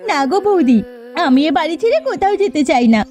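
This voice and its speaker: A woman voicing a meek, gentle young widowed daughter-in-law in a cartoon, plaintive and soft-spoken. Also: very high voice, animated, quick pace. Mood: sorrowful, resigned. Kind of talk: Bengali cartoon fairy-tale acting.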